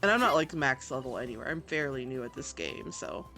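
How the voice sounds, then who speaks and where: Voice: mid-range voice, sing-song, a little fast. A game streamer giving casual, gushing and self-deprecating commentary while playing, with game music behind the voice.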